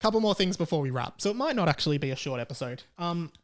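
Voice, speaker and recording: Higher-pitched Australian man, chatty and irreverent. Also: expressive, quick pace. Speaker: solo podcast host. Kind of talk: casual comedic rant.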